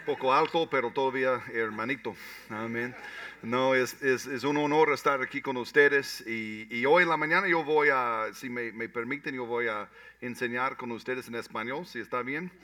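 Guy trying his at church, bright lively voice, a bit off-mic. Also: medium pitch, no room sound, recorded from a distance. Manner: halting, with many amens and restarts. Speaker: guest preacher.

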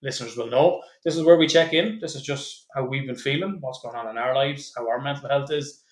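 A man's voice: medium pitch, brisk. Man, podcast host, casual teasing banter, mid-range voice, roomy echo. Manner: swearing, laughing, teasing banter.

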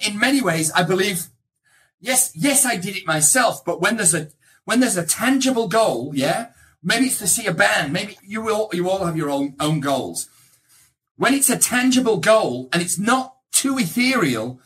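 Middle-aged motivational speaker from England. Bright, forceful voice, emphatic and conversational, widely swinging intonation. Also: mid-range voice. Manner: emphatic, conversational, checks in with rhetorical tags. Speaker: motivational speaker, real estate agent. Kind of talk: motivational talk to an audience.

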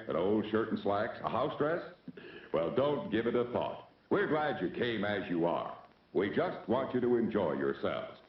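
Echoey vintage American male announcer. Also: mid-range voice. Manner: genial, showy salesmanship. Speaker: drive-in theater announcer. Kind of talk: vintage mid-century promotional announcement. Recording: old, dull, echoing, distant announcement recording.

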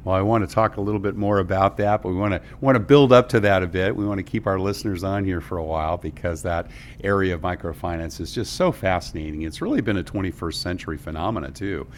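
A man's voice: deep voice, fast talker, hardly any pauses, slight background noise. A man hosting an interview podcast, chatty and rambling, with frequent restarts. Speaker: podcast host.

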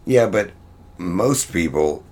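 Guy doing his grumbly opinionated podcast pal, voice pitched up, casual banter. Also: lively intonation, slow, darkish tone, heavily acted. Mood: grumbling, opinionated.

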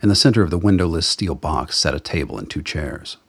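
A man reading scripted dramatic narration as the narrator of a radio play, with a husky voice. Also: rumbling low, a little fast, a bit bright, dry recording, clean studio sound.

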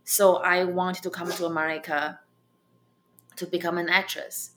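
A young adult woman from China with a Chinese accent, a comedian telling her story as an interview guest, halting with many fillers and restarts. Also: on the low side, flat delivery, fairly bright tone, slight background noise.

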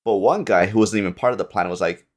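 A man's voice: on the low side, quick pace. A man guesting on a podcast, telling stories informally and slipping into quoted dialogue. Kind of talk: casual storytelling.

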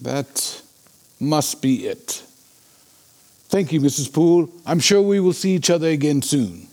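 A man playing a sober, concerned Victorian gentleman in a period drama, speaking in a formal, measured, inquiring way. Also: on the high side, expressive. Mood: polite, composed. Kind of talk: period audio drama acting.

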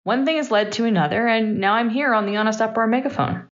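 A woman's voice: on the high side, quick pace. A woman in her thirties giving a personal, reflective monologue as a podcast host, candid and emphatic, in short punchy sentences. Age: 30s. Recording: dull, slightly lo-fi voice recording.

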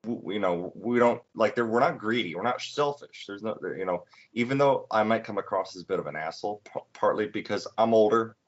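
A man's voice: on the low side, brisk, hardly any pauses. A man in his late twenties in casual podcast chat, rambling with many fillers and restarts. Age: 20s. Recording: dull lo-fi sound.

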